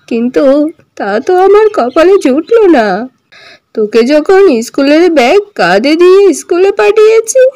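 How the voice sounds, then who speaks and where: An older village mother-in-law, acted by a woman, speaks in a high but softly rounded voice. She is indignant, protective and sharp-tongued, scolding in emphatic cartoon dialogue. The sound is close and dry. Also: dry recording.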